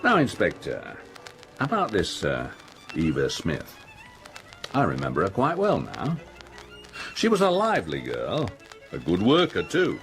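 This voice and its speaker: A self-important middle-aged industrialist in a radio drama. His low voice swings dramatically up and down as he blusters and brushes things aside, with faint music underneath. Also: wildly swooping pitch, unhurried, pauses often, full character voice, rough recording. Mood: defensive, dismissive. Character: pompous middle-aged factory owner, self-important and dismissive. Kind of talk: radio play acting.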